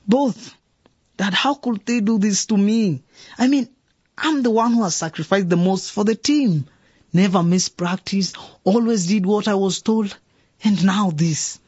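An actor playing a dejected teenage son who talks in a downcast, stammering way. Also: male voice, high voice, a little slow, a little mellow, slightly rough, no room sound. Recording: dull dry radio drama recording.

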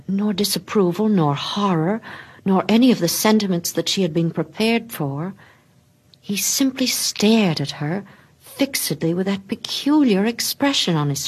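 A woman narrating a literary story expressively, with theatrical pauses and hushed drops in her delivery. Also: fairly low pitch, slightly rough. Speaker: story reader.

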